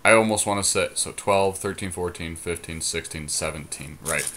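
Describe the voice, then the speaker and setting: A man with a fairly low voice and a bright, forceful edge to it talks through card choices with a friend. He thinks out loud, full of ums, half-finished starts and quick corrections. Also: a little hiss or hum. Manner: thinks aloud with many ums and restarts. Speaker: podcast co-host. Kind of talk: casual deckbuilding chat.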